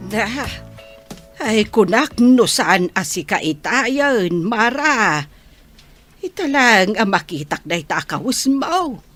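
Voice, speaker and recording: A woman's voice: medium pitch, sing-song, slow, a bit bright, soft background music, heavily acted. A woman playing an older, skeptical grandmother who admonishes and advises in an amateur audio drama. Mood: skeptical, advising.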